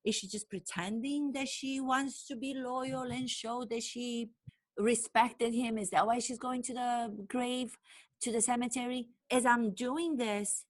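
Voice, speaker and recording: A woman's voice: fairly high pitch, a little slow, poor audio. Romanian-born woman telling a story. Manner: animated, storytelling with quoted inner thoughts. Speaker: actor and acting coach. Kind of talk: personal storytelling to camera.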